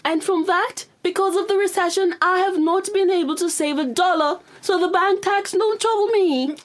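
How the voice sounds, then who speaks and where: A woman with a Jamaican accent playing a nervous, chatty government secretary in a radio drama, plain-spoken and colloquial. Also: extremely high pitch.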